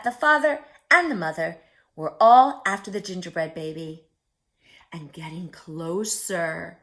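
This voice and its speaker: A woman's voice: mid-range voice, fairly bright tone. A woman reading a picture book aloud to young children as a story-time host, theatrical and often putting questions to her listeners.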